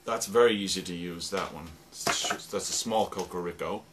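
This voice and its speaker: A man with a fairly low voice talks through a hands-on demonstration in a loose, halting way, wandering between explanations. He sounds like an informal instructor showing how his gadgets work. The recording has clear background noise. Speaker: radio drama producer demonstrating sound effects. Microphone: stereo microphone. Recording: noisy recording with stereo microphone.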